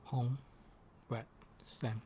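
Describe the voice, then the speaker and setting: A man speaking gently and patiently in soft, partly whispered ASMR, with hesitant, step-by-step phrasing. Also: mid-range voice, flat delivery, extremely slow, slight background noise. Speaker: ASMR performer.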